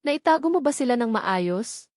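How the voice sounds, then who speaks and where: A woman's voice: fairly high pitch, lively intonation, moderate pace, a little mellow, dry recording, studio quality, voice pushed up, lightly acted. A woman voicing a sneering, scheming villainess with a mocking manner in a romance story. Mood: taunting.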